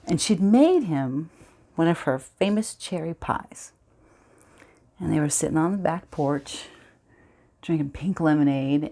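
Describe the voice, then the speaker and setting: A woman performing a dramatic film monologue as a somber storyteller recalling childhood, speaking in a hushed, halting, deliberate way. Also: sits low, vocal fry, pitched below the performer's own voice.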